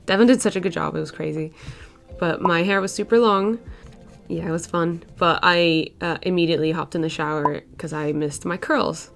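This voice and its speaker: A woman's voice: on the low side, fairly even intonation, normal speed, gravelly, faint music behind. A young woman in her twenties whose first language is Portuguese, chatting casually over a sketching video with lots of "like" and "uh" fillers. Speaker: art vlogger.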